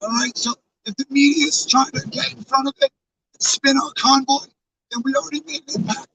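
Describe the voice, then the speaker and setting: A hoarse man losing his voice, talking casually and earnestly to his live-stream chat, rambling with fillers and restarts. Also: very high voice, steady tone, brisk, a bit bright, distant mic, rough recording. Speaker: live streamer with a truck convoy. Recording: off-mic with faint background noise.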